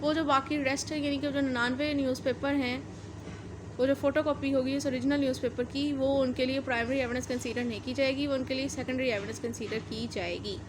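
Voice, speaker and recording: High-pitched Pakistani woman lecturing. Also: fairly even intonation, a little fast, background noise. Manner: didactic, step-by-step, repeats and rephrases points. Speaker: law lecturer. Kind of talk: explanatory lecture, mixing English legal terms.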